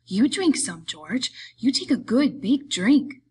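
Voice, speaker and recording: A woman voicing a big, simple, childlike male farm laborer in an audiobook, eager and pleading, with halting, repetitive, rustic speech. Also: fairly high pitch, very animated, mellow, slightly rough, voice pushed up, heavily acted. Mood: happy, eager.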